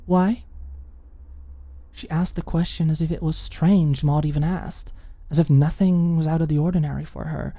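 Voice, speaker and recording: A woman's voice: low voice, steady tone, a little fast, hardly any pauses, dark tone, slightly rough, no echo. A woman reading scripted story narration for an ASMR audiobook, recorded close to the mic.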